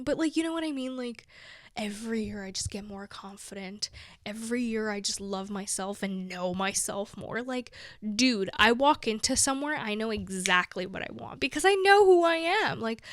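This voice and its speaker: A young woman in her twenties talks loosely and warmly to her viewers, her voice sitting slightly high. She giggles between thoughts and fills her sentences with "like" and "you know." The recording is dry and close, with no room sound. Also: no room sound. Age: 20s. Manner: chatty, giggly, many "like"s. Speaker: vlogger. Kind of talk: casual chat to camera.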